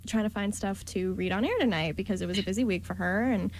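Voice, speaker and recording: A woman's voice: mid-range voice, fast, slight background noise, poor audio. A young woman chatting casually as a participant on a student radio show. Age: young adult. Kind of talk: casual chat.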